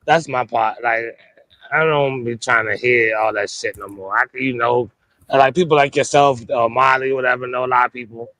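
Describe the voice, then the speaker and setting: A man's voice: medium pitch, fairly even intonation, normal speed, sharp tone, dry recording, low-quality recording. Laid-back man rambling, close dry sound. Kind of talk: casual chat, slangy and profane. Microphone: earphone microphone.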